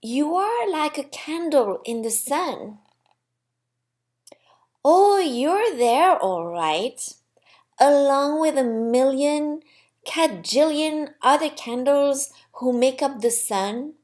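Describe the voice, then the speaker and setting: A woman playing a gentle, wise, amused God in a storybook, explaining patiently and reassuringly. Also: high-pitched, expressive, takes it slowly, voice pushed up. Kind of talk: storybook character voice.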